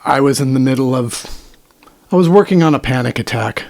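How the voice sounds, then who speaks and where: A middle-aged man with a faint Midwestern accent, co-hosting a casual podcast and talking in a rambling, self-deprecating way with halting restarts. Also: medium pitch, expressive, vocal fry, a little mellow. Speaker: podcast co-host, musician. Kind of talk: casual chat.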